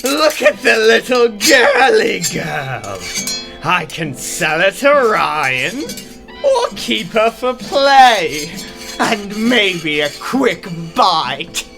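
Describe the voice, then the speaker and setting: A woman's voice: medium pitch, big pitch swings, drawn-out words, creaky, music in the background, heavily acted. A woman playing a deranged, predatory mutant villainess in an audio drama, taunting and gleeful in a theatrical way. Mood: gleeful menace. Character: deranged, predatory female splicer, sadistic and mocking.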